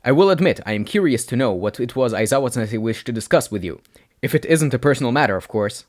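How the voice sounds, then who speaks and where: A man's voice: mid-range voice, animated, quick pace, close to an everyday voice. A man voicing a serious, blunt teenage boy who talks in a formal, precise way in an audio story. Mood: polite, curious.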